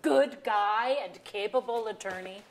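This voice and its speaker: A woman acting a sceptical, sharp-tongued middle-aged wife in a staged play reading, pointed and insistent. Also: medium pitch, a little slow. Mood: accusing. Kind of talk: theatrical dialogue reading. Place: museum auditorium stage.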